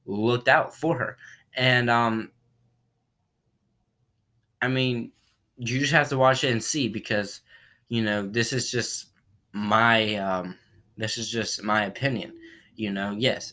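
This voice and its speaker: A man giving a casual spoken movie review while recovering from a cold, rambling and often clearing his throat. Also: medium pitch, bright, forceful tone. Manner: rambling, repeats himself, frequent throat clearing.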